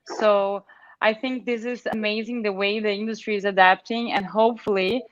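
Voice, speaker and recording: A woman's voice: medium pitch, fairly even intonation, normal speed, no room sound, lo-fi sound. A woman with a Brazilian accent, an international model speaking as a panelist in a casual video call discussion, chatty and enthusiastic with many fillers.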